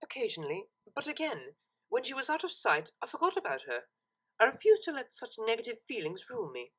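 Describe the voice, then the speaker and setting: A woman's voice: a little high, huge pitch swings, a little fast, fairly bright tone, husky, voice pushed up, heavily acted, poor audio. A woman voicing a refined young cartoon mare who speaks formally and with cool disapproval. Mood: cool, indignant. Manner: formal, articulate, lecturing.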